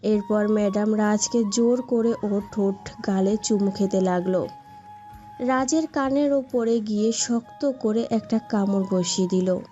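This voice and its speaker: A woman's voice: medium pitch, measured pace, warm tone. A woman narrating a scripted romantic story as its storyteller. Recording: dull lo-fi sound, background music.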